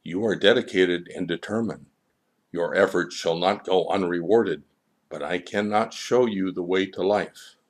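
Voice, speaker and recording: A man voicing a solemn, patient and authoritative God in a storybook, speaking in a measured way. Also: deep voice.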